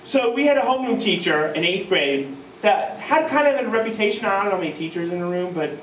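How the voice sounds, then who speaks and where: A man's voice: high-pitched, fast talker, a little hiss or hum. Man telling stories on a roomy stage. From New York state. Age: 30s. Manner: animated, self-mocking, mimics voices in quotes. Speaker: guitarist giving a clinic. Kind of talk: storytelling to a live audience. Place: music store clinic room, audience present. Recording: roomy live sound from far off.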